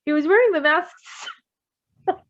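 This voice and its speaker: A woman reading fan fiction aloud in a casual, giggly, expressive way, breaking into chatty asides. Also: very high-pitched, measured pace, lo-fi sound. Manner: giggly, expressive, exclaiming and swearing. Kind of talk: giggly live read-aloud with casual chat.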